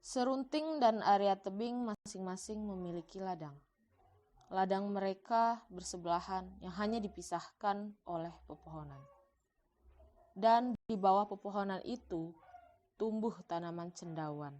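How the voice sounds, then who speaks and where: A woman's voice: medium pitch, unhurried, warm tone. A woman narrator reading a scripted folktale for a cartoon in a storytelling style.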